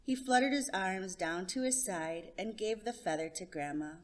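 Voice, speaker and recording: A woman hosting a story time, reading a children's picture book aloud in an expressive narration. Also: medium pitch, normal speed. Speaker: storytime host and reader.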